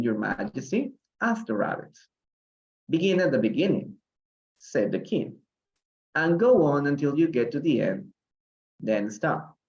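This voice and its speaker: A man's voice: mid-range voice, lo-fi sound. A man from Peru teaching an English reading lesson on a live stream, encouraging his students with many fillers and hesitations. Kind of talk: live online reading lesson, switching to Spanish. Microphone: livestream call audio.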